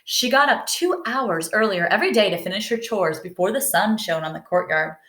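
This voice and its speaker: Lady reading a kids book out loud, expressive, medium pitch, kinda echoey room. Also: a little fast. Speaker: storybook reader. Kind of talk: expressive read-aloud narration for children. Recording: roomy indoor echo.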